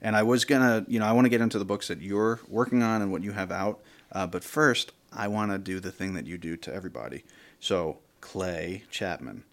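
A man with a very low voice hosts a radio interview. He asks questions and comments in an informal, conversational way. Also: brisk. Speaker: radio show host, interviewer.

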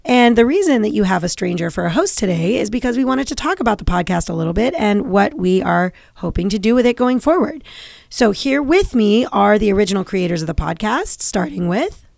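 Young female guest host, a touch of vocal fry, relaxed and joking. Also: medium pitch, a little fast, no room sound, clean studio sound. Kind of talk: casual podcast interview. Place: recording studio. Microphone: studio mic.

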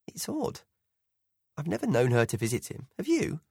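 A talkative middle-aged mother, put on by a male audiobook narrator, fires off friendly but probing questions at her son. The voice sits well above a man's usual range and sounds bright and eager. It is recorded close and dry, with no room around it. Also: fairly high pitch, huge pitch swings, normal speed, creaky, slightly rough, no echo, voice pushed up, heavily acted. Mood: chatty, probing.

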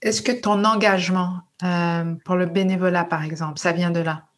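A woman's voice: lowish voice, fairly even intonation, normal speed. A woman guest-hosting an interview, asking questions and often restarting her phrasing. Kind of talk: interview conversation.

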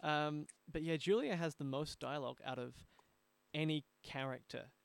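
A man with a noticeably creaky, gravelly voice, recorded very dry. He hosts an interview conversationally, halting and doubling back on words. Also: fairly high pitch, no echo. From Australia. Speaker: podcast host, audio drama producer.

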